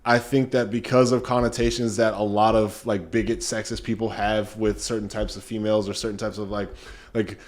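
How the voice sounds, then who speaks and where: A man co-hosting a podcast, speaking candidly and thinking out loud, often pausing to hedge and qualify what he says. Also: on the low side, level tone. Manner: candid, hedging, thinks aloud. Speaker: podcast co-host. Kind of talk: casual chat.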